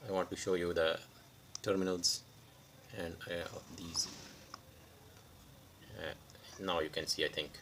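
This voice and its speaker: Casting: male repair tutor, non-native English speaker, lowish voice, very slow, halting phrasing, unscripted demo style. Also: level tone, a bit bright, slight background noise. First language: not English. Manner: halting, pausing mid-sentence in short phrases. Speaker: electronics repair tutorial host. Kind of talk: unscripted hands-on repair demonstration.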